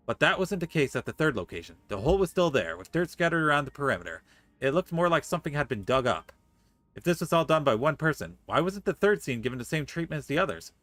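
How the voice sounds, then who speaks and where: A man's voice: a little high, big pitch swings, fast, a bit bright, no room sound. A man livestreaming a game, reading story dialogue aloud articulately and adding playful comments. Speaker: game streamer.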